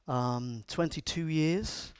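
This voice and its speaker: Middle-aged male agile coach with a Scouse accent, slightly higher voice, dry close sound, chatty and playful with the room. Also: slow pace, clean tone, no echo. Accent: Scouse (Liverpool). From Liverpool, England. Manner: chatty, jokey, asks the audience questions. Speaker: conference speaker and agile coach. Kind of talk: live conference talk to a room.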